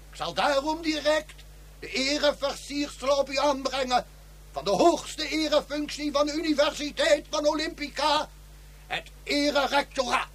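A man's voice: very high-pitched, fairly bright tone, heavily acted. An actor playing a pompous, long-winded male official who gives solemn, ornate speeches in a radio play. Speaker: dignitary giving a ceremonial speech.

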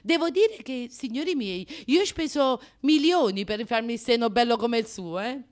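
An actress plays a vain, wounded Neapolitan woman in a comic radio play. Her voice sits very high and carries a Neapolitan accent. She confides in the listener with passionate, self-mocking theatricality and colloquial, emphatic phrasing. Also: expressive, moderate pace, close to an everyday voice. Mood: flirty, boastful. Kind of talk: radio drama comic monologue.

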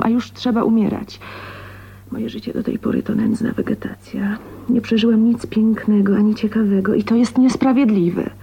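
An actress playing a bitter, lonely spinster of twenty-nine in a radio drama soliloquy, husky, introspective and halting, often half-whispering. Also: female voice, medium pitch, warm tone. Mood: aggrieved. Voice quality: breathy, husky. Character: bitter, lonely, ailing 29-year-old spinster heroine, soliloquizing. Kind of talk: 1980s radio drama monologue. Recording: dull, muffled radio recording.

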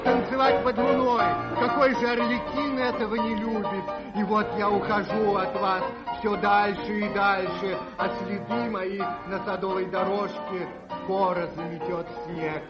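A man's voice: sits high, flat delivery, a little slow, rough recording. An actor playing a teasing, theatrical Harlequin in a radio play, declaiming like a playful showman. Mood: grandly farewelling. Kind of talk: 1980s Soviet radio play acting. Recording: loud music and audience behind.

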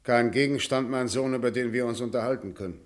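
A man's voice: medium pitch, steady tone, fast talker, very noisy, lightly acted. An actor playing a stern, aloof middle-aged father in a German radio play, formal, curt and dismissive. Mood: cold, dismissive. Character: stern, aloof, unapproachable father, a state prosecutor.

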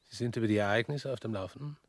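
An actor in a radio play voicing a weary former prosecutor, measured and probing, sometimes dropping to a near whisper. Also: male voice, on the low side, dry recording, close to an everyday voice.

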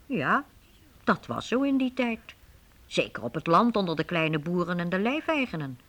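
Female narrator, lowish voice, animated intonation, deliberate, dramatic radio storytelling. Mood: explaining, suspenseful.

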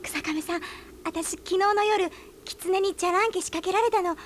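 A woman's voice: very high voice, a little hiss or hum. An actress playing a spirited, stubborn young woman in a radio drama, arguing her case insistently. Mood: animated. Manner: quick-witted, debating, insistent. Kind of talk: 1990s radio drama acting.

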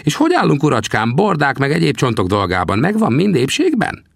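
A sly little old trapper who chuckles and teases in a high, gravelly voice that leaps all over the place, as a male reader puts him on. He sounds folksy and boastful. The sound is dry and close. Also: wildly swooping pitch, no echo, heavily acted. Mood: teasing. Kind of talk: audiobook character voice.

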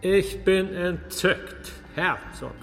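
A sardonic master of ceremonies with an Austrian accent, in a reverberant radio play with music underneath. He sits a little high and creaky, presenting guests with ornate, gossipy relish. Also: very slow, a bit bright, heavily acted. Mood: gleeful gossip.

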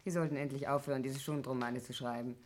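A woman's voice: a bass voice, fairly even intonation, fast talker, a little mellow. Wry, self-possessed woman. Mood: wry, teasing. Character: wry, self-possessed governess Yves, dryly flirtatious. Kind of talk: 1960s German radio drama acting. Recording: faintly noisy old radio recording.

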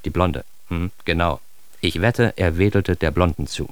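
Man playing wry confessional son, low voice, very animated, mock-formal, ironic, dry close radio-drama sound. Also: normal speed, dry recording, lightly acted. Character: grown son wryly confessing his embarrassed adolescence. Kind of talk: first-person radio drama narration.

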